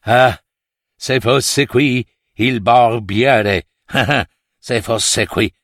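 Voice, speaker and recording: A man's voice: medium pitch, drawn-out words, fairly bright tone, dry recording, studio quality, heavily acted. A man voicing a beleaguered, irascible king in a fairy tale, exclaiming and repeating himself dramatically. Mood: lamenting.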